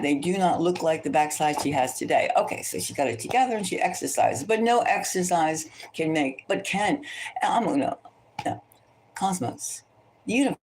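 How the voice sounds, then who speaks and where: A woman's voice: sits low, a little mellow, a little hiss or hum, rough recording. A woman tarot reader chatting casually and thinking aloud, gossipy and opinionated, often rambling and repeating her phrases. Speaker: tarot reader hosting a celebrity psychic reading.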